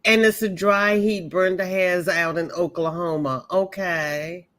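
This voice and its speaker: A woman's voice: on the low side, steady tone, measured pace, a bit bright. A woman hosting a crochet livestream, chatting casually and greeting viewers by name as their comments come in. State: voice may be weak, hoping it holds up. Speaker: crochet channel host greeting livestream chat.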